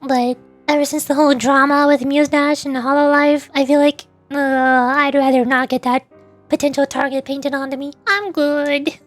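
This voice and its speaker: A woman's voice: high voice, steady tone, moderate pace, dry recording, faint music behind. A young woman streaming a game, chatting casually and rambling with laughs and restarts.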